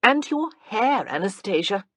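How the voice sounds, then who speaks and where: A woman plays a fastidious aunt who criticizes appearances. Her storybook delivery is slow and lively in pitch, with a slightly husky tone. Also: no room sound, studio quality. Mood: disapproving. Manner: disapproving.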